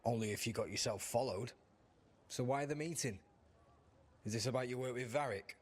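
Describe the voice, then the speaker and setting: A man's voice: medium pitch, moderate pace, lightly acted. Man playing a dry, wary underground rebel. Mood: wary, businesslike. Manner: dry, blunt. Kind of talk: video game cutscene acting.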